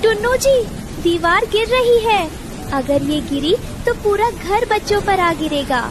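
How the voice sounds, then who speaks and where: A woman's voice: very high-pitched, expressive, unhurried, noisy background, pitched above the performer's own voice. A woman voicing a desperate mother bird in a cartoon, dramatic and pleading.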